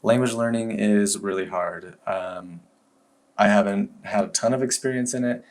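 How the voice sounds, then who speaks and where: A man talking casually to camera as a language-learning vlogger, relaxed and rambling, with frequent fillers and restarts. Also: lowish voice, normal speed. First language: English. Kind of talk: casual review talk to camera.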